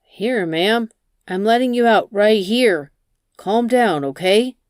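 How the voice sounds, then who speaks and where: Woman reading as an easygoing, unhurried male driver. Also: mid-range voice, lively intonation, clean tone, no echo, studio quality. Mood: alarmed. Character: easygoing male driver, cocky, turning nervous.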